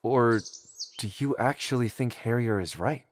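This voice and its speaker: A man's voice: medium pitch, unhurried, a little hiss or hum, close to an everyday voice. A man playing a curious, teasing young companion in a game, speaking in a conversational, probing way.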